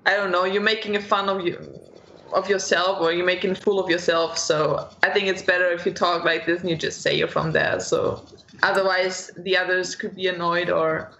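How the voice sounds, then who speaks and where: Woman, German accent, opinionated, bright tone, roomy lo-fi audio. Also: fairly low pitch, normal speed, a little hiss or hum. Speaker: guest. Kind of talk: casual group chat. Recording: roomy lo-fi sound.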